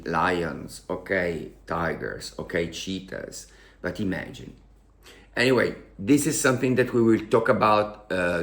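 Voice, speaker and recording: An Italian man speaks slowly and unhurriedly, pausing often and repeating words as he works through his English. His voice sits fairly low, and he talks with open emotion as a vlogger addressing his viewers. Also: vocal fry. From Italy. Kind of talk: casual storytelling to camera.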